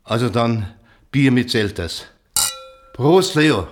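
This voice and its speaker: An actor playing a jovial small-town innkeeper, chummy and teasing, in naturalistic drama dialogue. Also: male voice, medium pitch, animated, slow. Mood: hearty. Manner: chummy, bantering, hearty.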